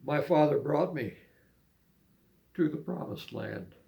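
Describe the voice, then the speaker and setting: Older man with a gravelly, creaky voice. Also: on the high side, lively intonation, mellow. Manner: halting, unhurried delivery. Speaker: amateur poet and musician. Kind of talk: poetry reading and casual reminiscing.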